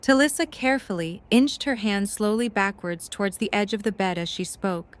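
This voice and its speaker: Mid-pitched woman narrating a story. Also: moderate pace.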